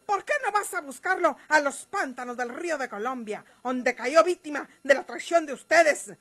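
Radio drama role: hot-tempered northern Mexican outlaw woman, high and sharp, scornful country speech. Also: expressive, normal speed. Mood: bitter. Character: defiant, hot-tempered bandit's woman, María Eugenia.

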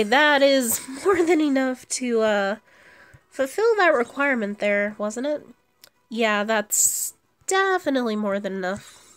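Slow-paced, higher-voiced woman gamer. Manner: thinks aloud while playing. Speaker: gamer commentating own gameplay. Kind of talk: casual gameplay commentary. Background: video game music.

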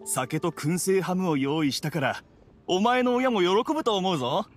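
A man's voice: high voice, very animated, normal speed. A man voicing an agreeable young townsman in an anime fantasy game, casual and assured. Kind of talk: anime game character acting. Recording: game music behind the voice.

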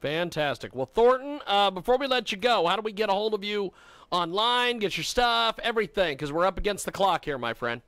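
A man's voice: high-pitched, big pitch swings, brisk, a bit bright, no echo. A male radio host in a small home studio with a headset, upbeat and plugging his guest. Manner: upbeat, pushy plugs. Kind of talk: radio interview hosting. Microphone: headset and desk mic.

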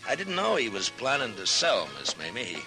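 An actor playing a steady, courteous frontier marshal in a 1950s radio western, measured and polite as he probes. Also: mid-range voice, faint music behind, heavily acted, rough recording. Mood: polite, probing. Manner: measured, polite, hesitant probing. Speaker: lead actor of a radio series. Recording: vintage 1950s radio drama recording.

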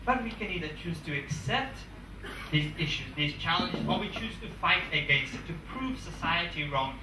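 A man's voice: a little high, animated, normal speed, far from the mic, noisy background. Rousing man in an echoey room. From England. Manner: emphatic, repetitive, rousing. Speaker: motivational speaker. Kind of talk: motivational speech to students. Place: large conference room, indoors. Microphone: handheld mic, far camera. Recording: echoey room, recorded from afar.

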